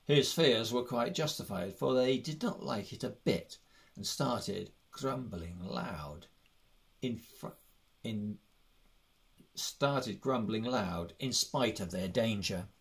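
A man's voice: on the low side, slow, gravelly. A man reading a fantasy story aloud as scripted narration. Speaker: audiobook reader.

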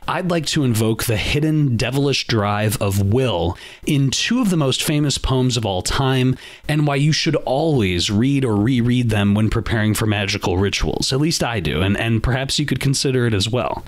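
A man's voice: mid-range voice, lively intonation, moderate pace. A man hosting a podcast, delivering a scripted monologue in an earnest, emphatic way. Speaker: podcast host.

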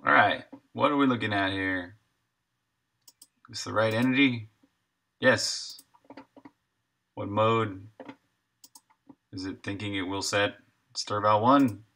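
Slow-paced man, very bright voice. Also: lowish voice, steady tone, creaky. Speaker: game developer and live streamer. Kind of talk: casual live-coding commentary, thinking aloud. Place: indoor room at a desk, streaming while coding.

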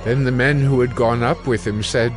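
A man narrating a dramatized audio Bible in a measured, solemn storytelling manner. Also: medium pitch, steady tone, faint music behind.

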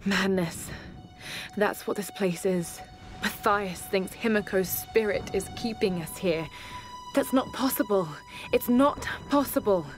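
An actress playing a shaken but determined young adventurer, narrating her survival journal in a game with a breathy voice that often drops toward a whisper. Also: medium pitch, close to an everyday voice. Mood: urgent, disbelieving. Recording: music behind the voice.

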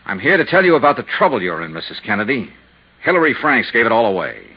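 Hard-boiled male investigator, medium-pitched and husky, blunt, muffled 1950s radio serial. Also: sing-song, fast talker. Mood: pressing, grim. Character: tough, persistent male insurance investigator narrating his case. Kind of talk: 1950s radio drama acting and narration.

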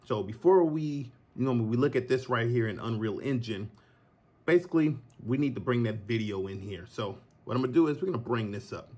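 A man in his thirties giving a relaxed, chatty software tutorial from his home office, recorded on a phone and talking to viewers as y'all. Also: mid-range voice, fairly quick, breathy edge. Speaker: filmmaker teaching a tutorial. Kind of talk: casual screen-recorded tutorial. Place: green screen studio, then home office.

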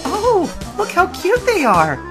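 A man voicing an excitable teenage girl traveler who exclaims her lines in an audio story. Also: very high-pitched, measured pace, music in the background, pitched above the performer's own voice, heavily acted. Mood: gushing. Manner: exclaiming, gushing. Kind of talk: fan fiction audiobook character voice.

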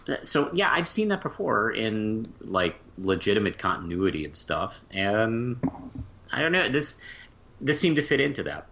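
A man's voice: on the low side, very animated, normal speed, vocal fry, pushed and bright, highs cut off. A man in casual conversation as a podcast co-host, hesitant and thinking aloud as he goes. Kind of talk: casual chat.

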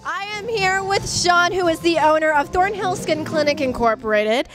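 A woman's voice: extremely high pitch, normal speed. A young woman interviewing an award winner on a handheld mic in a busy banquet hall, upbeat and polished. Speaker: event interviewer. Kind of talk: red-carpet interview. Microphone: handheld microphone. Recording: music in the background.

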